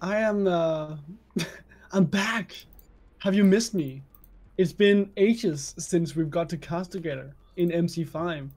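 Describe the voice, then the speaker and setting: A man's voice: high-pitched, takes it slowly, darkish tone. A man with a Danish accent co-casting a game stream, teasing his partner in playful banter. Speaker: esports co-caster. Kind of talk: casual stream commentary.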